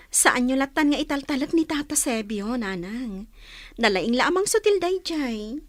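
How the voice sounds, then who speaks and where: Woman acting nosy practical ilocano daughter, really high voice, dry close sound, radio drama. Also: animated, unhurried, no echo. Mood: curious, teasing.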